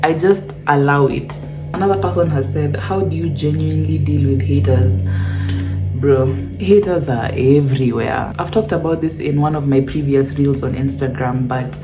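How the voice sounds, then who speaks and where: A woman's voice: deep voice, normal speed, music in the background, poor audio. A young woman with a lisp, chatting playfully and sassily to camera as she answers fan questions. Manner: playful, sassy, mixes Swahili slang into English. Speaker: vlogger reading fan questions. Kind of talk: casual chat to camera.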